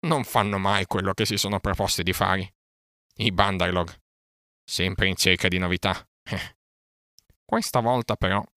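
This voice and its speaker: Man as a low-voiced, sneering vulture. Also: pushed and bright, smooth, no echo, studio quality. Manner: sardonic, knowing. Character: sly, sneering vulture watching from above.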